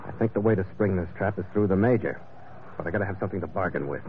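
Low-voiced, hard-boiled sea captain. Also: male voice, rapid-fire, slight background noise. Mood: scheming. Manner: clipped, wry, hard-boiled. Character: tough, wry male sea captain narrator. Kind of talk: old-time radio adventure acting and narration. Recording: tinny vintage radio recording.

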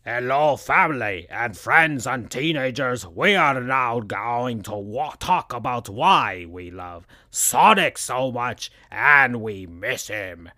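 A stiff male funeral director makes slow, formal announcements, as a man reads him out. His voice is lively in pitch, and the recording is dry and close. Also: no room sound. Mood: solemn.

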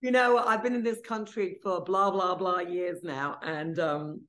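A woman's voice: fairly low pitch, fairly even intonation, measured pace, fairly bright tone. A middle-aged woman from England with a British accent, Italian as her first language, chatting as a podcast guest and telling stories with light joking asides. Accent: British English. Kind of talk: casual interview chat.

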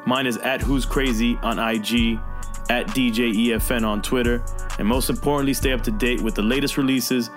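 A man who hosts the show reading the outro credits in a steady, even manner. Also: medium pitch, normal speed, soft background music. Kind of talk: scripted outro read.

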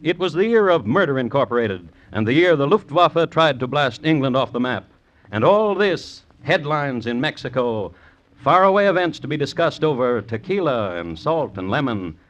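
A man's voice: mid-range voice, sing-song, highs cut off. Wry male old-time radio narrator. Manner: wry, deliberate, literary. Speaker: host and narrator. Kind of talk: 1950s radio scripted crime narration. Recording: old radio recording with music.

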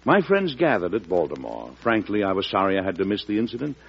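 An actor playing a shrewd, steely President in a vintage radio drama, speaking deliberately with wry theatrical flair. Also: male voice, low voice, sing-song, vocal fry, husky, full character voice. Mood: measured narration. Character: shrewd, steely elder statesman, a US President and father. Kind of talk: 1940s-50s radio drama acting. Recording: dull vintage radio recording.

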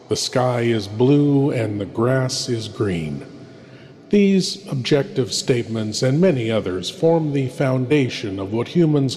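A man playing an eerie, courtly horror host, speaking scripted introductions in a hushed, deliberate, theatrical way. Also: mid-range voice. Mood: ominous. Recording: long hall reverb, background noise.

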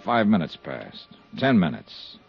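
An American actor playing a commanding, tough insurance investigator in a 1950s radio detective drama, with crisp, authoritative delivery. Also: male voice, deep voice, huge pitch swings, measured pace, faint music behind, full character voice. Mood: hard-boiled narration. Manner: crisp, authoritative, clipped orders. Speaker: lead actor and narrator. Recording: muffled 1950s radio recording.

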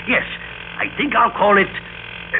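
A pompous company boss, tinny old radio. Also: male voice, sits high, slow pace. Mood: grandly proud. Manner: pompous, formal, smoothly condescending. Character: pompous, smug company boss, polite but unfeeling. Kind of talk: old-time radio drama acting. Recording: thin band-limited old radio recording, noisy.

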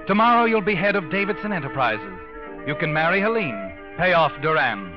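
An actor playing a sardonic, ominous narrator who taunts a schemer in a 1940s radio suspense drama. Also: male voice, fairly high pitch, very animated, fairly quick. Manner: dramatic, knowing, mocking. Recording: muffled old radio recording with music.

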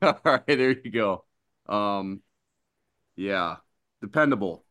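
Low-voiced teasing male co-host. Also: lively intonation, slow, a bit bright. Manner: teasing, with repeated words. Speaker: podcast co-host. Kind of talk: casual podcast banter.

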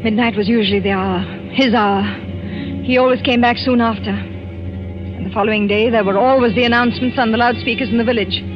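An actress playing an anxious, fearful French wife in a 1940s radio thriller, halting and dramatic. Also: female voice, on the high side, fairly even intonation, natural voice. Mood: grim dread. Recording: muffled vintage radio recording with music.

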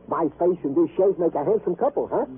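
A man playing a chatty, proud, comical American police sergeant in an old radio drama, husky and pompously folksy. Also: on the high side, lively intonation, normal speed, a little hiss or hum, close to an everyday voice. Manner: pompous mock-formal wording, folksy. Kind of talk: 1950s radio comic-relief acting. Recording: muffled vintage radio broadcast recording.

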